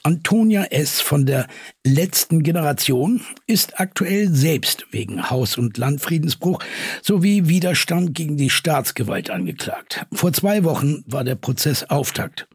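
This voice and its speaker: A probing podcast interviewer, audio drama, really rough husky voice, close dry sound, sceptical. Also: male voice, a little high, expressive, measured pace, no echo, full character voice. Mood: sober, reporting. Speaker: podcast host.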